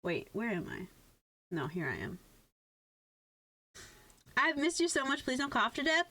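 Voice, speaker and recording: A young woman streamer with a fairly high, very bright and forceful voice. She chats casually to her live viewers in an animated, rambling way, with plenty of profanity. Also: measured pace, no echo. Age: young adult. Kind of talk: casual chat on a game livestream.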